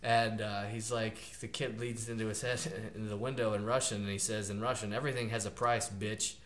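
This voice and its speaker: A man's voice: on the low side, level tone, brisk, fairly bright tone. A man co-hosting a podcast, chatting casually and loosely with lots of fillers, retelling a movie plot. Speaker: podcast co-host. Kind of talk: casual chat. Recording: roomy echo.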